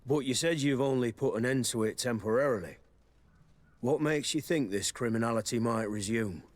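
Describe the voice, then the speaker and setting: An actor playing a dutiful guard commander in a video game, courteous, formal and authoritative. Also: male voice, mid-range voice, normal speed, close to an everyday voice. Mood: stern, concerned.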